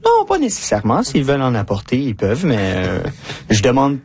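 A man's voice: medium pitch, huge pitch swings, fairly quick, a little mellow, slight background noise. A man in his twenties chatting casually as a contributor on a radio show. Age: 20s. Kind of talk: casual on-air chat.

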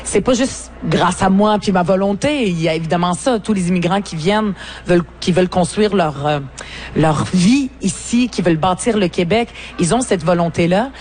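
Guest: Québécois woman, 40s, Arabic first language, earnest and reflective, halting with fillers, faint background noise. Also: lowish voice, a little mellow. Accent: Québécois French. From Lebanon. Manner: earnest, reflective, many hesitations. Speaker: politician and author guest. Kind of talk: interview at a book fair. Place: book fair.

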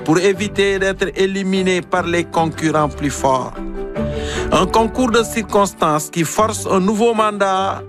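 A man's voice: high voice, unhurried. African-accented male host over music. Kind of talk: radio show hosting and scripted closing narration.

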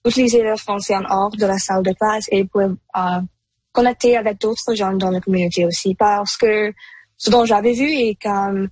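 A woman's voice: medium pitch, steady tone, moderate pace, a little mellow, husky, dry recording, rough recording. A teenage girl whose first language is Punjabi, speaking French hesitantly with many fillers. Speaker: student guest.

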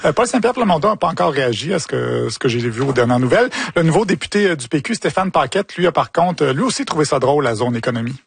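A man's voice: a little high, lively intonation, quick pace, creaky, few pauses, slightly rough, no room sound. A man with a Quebec French accent hosting casual commentary on the news in a wry, joking, colloquial way. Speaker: host of a media commentary show.

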